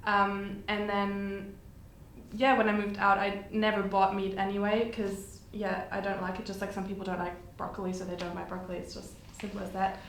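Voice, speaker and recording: A woman's voice: mid-range voice, completely flat delivery, moderate pace, a bit bright. A woman in her twenties from Germany, chatting casually as a podcast guest with lots of fillers. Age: 20s. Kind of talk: casual chat. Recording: echoey room with faint noise.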